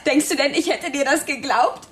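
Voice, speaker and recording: An actress playing a distrustful, bitter young fugitive in a radio drama, scornful and highly emotional. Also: female voice, normal speed, lightly acted. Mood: bitter, agitated.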